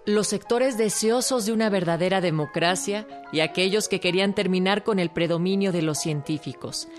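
A woman's voice: fairly low pitch, normal speed, faint music behind. A woman with a Mexican accent reading scripted narration for a historical radio documentary.

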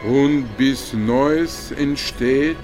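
A solemn deity in a radio play, proclaiming doom with prophetic gravity. The mid-pitched voice moves extremely slowly, each phrase set apart, with music underneath. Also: male voice. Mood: grave prophecy. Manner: grave, slow, prophetic proclamation. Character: solemn Buddha, a god foretelling universal death. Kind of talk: literary radio drama acting.

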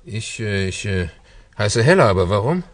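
Evasive male caretaker, nervous, polite, stammering, fairly low pitch, radio drama. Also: very animated, moderate pace. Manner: stammering, hesitant. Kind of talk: German radio drama acting.

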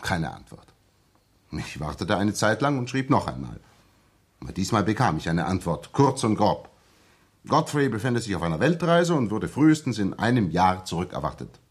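Earnest young ex-soldier, low husky voice. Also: male voice, sing-song, normal speed. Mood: earnest narrating. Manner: polite but insistent. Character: earnest, persistent young ex-soldier seeking his friend. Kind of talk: 1960s German radio drama acting.

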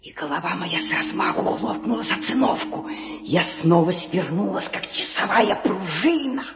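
A woman's voice: fairly low pitch, expressive, normal speed, natural voice. A woman playing a desperate, venomous widowed female cobra with intense theatrical delivery in a children's story recording. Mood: fierce. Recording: dull echoey old record with music.

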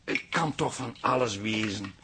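An actor playing a concerned, plain-spoken grown son in a naturalistic radio drama. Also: male voice, fairly low pitch, a little slow, noisy background, natural voice. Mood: worried.

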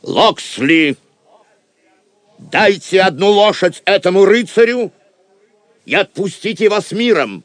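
A proud warrior king from an audio drama, declaims in a stately, theatrical way. He speaks slowly, with wide swings of pitch in a dry recording, stern and commanding yet magnanimous. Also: lively intonation, no room sound.

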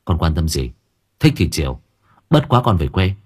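Man as a husky, defiant young labourer. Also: low voice, very animated, normal speed, darkish tone, voice pushed down, full character voice. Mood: stubborn. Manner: curt, challenging, irritable. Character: defiant, hot-headed young male labourer, stubborn and brash.

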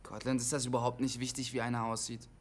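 An actor in a German radio drama playing an awkward, defensive loner in his twenties, halting and stubborn as he talks on CB radio. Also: medium pitch, completely flat delivery, slight background noise, close to an everyday voice. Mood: sullen, defensive. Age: 20s.

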